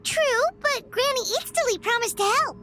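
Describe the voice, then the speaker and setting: A woman voicing a tiny, chirpy, childlike fairy companion in a video game, with a squeaky, bubbly delivery. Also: normal speed, pushed and bright, faint music behind. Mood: friendly, curious. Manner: bubbly, exclamatory.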